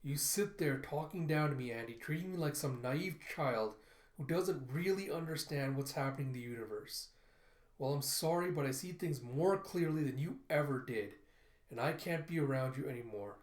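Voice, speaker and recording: A man's voice: a little high, normal speed, close to an everyday voice. Man voicing a defiant young woman activist, echoey room. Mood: hurt, defiant. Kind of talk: dramatic storytelling dialogue.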